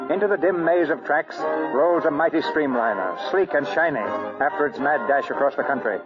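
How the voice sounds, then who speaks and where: A man's voice: a little high, animated, moderate pace. A man presenting a radio theatre play in formal, dramatic 1940s announcing style, reading scripted narration. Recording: dull 1940 radio recording, music behind.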